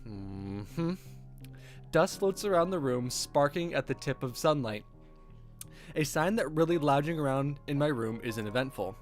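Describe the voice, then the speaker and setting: Male streamer, wry and sweary, very expressive read of visual novel narration with casual commentary, music underneath. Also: medium pitch, a little slow. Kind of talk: reading game text aloud with casual asides. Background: music with guitar.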